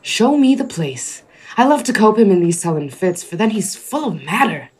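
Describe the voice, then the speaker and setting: A woman's voice: medium pitch, big pitch swings, moderate pace, husky. A woman playing a genial, philosophical exiled duke in a Shakespearean radio play, reflective and oratorical. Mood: musing, curious.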